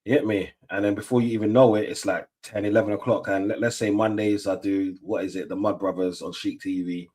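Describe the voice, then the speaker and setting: A middle-aged man talks in a fairly low voice. His chat is loose and slangy, full of fillers and restarts, as he hosts a relaxed football watch-along stream. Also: brisk, hardly any pauses. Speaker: stream host.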